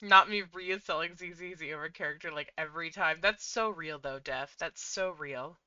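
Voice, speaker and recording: A woman's voice: low voice, normal speed, pushed and bright, no room sound, muffled recording. A woman streaming a game, chatting casually and reacting in an informal way. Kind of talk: casual livestream chat over gameplay.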